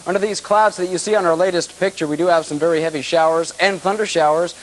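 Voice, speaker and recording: A man's voice: on the high side, fairly even intonation, quick pace, poor audio. An American man giving a television weather forecast in a breezy, conversational way with clipped, ad-libbed phrases. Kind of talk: ad-libbed weather forecast, chatty broadcast delivery. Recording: hissy old 1980s TV broadcast tape.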